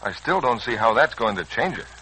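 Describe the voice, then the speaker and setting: An actor playing a doubtful, resigned young man, a son and nephew, in a 1940s radio serial, with a husky voice and skeptical, plain-spoken manner. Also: mid-range voice, big pitch swings, a little fast, pushed and bright, a little hiss or hum, low-quality recording. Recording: old 1940s radio recording.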